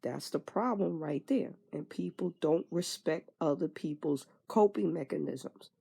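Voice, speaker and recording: A woman's voice: low voice, a little slow, vocal fry, mellow, slightly rough. A woman in her twenties frankly venting in a candid personal monologue, swearing casually and trailing off with fillers. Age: 20s. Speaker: vlogger.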